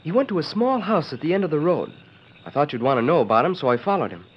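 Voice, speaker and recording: Actor as earnest, lively boy sidekick. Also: a little high, fast, lightly acted. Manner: earnest, youthful. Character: earnest, eager boy sidekick in the Old West. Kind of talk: 1940s radio western acting. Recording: lo-fi noisy 1940s radio recording.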